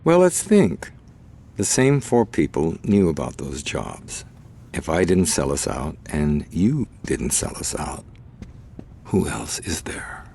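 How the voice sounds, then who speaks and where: An actor playing a sardonic older male crime boss in a video game, with dry, measured, sarcastic speech. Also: extremely low pitch, sing-song, gravelly, dark tone, breathy edge, heavily acted. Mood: calculating. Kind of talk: video game cutscene acting.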